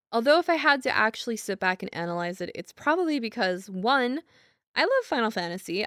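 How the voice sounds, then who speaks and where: A woman's voice: mid-range voice, a bit bright, no room sound, clean studio sound. A woman playing an apologetic, affectionate young gamer girlfriend in an audio roleplay, chatting casually in a self-deprecating, giggly ramble. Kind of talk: girlfriend ASMR audio roleplay, casual improvised-sounding chat.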